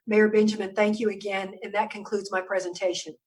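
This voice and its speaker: A woman public health official giving a measured briefing over a video call, hoarse and losing her voice, often stopping to clear her throat. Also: medium pitch, little pitch movement, darkish tone, far from the mic, low-quality recording. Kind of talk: briefing presentation on a virtual meeting.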